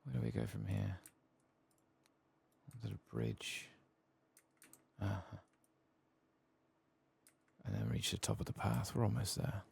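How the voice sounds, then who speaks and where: Male game streamer, soft-spoken and casual, heavy gravel in the voice, lively pitch movement. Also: unhurried, pauses often. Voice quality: gravelly, husky. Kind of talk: soft-spoken ASMR gaming commentary, reading quest text aloud.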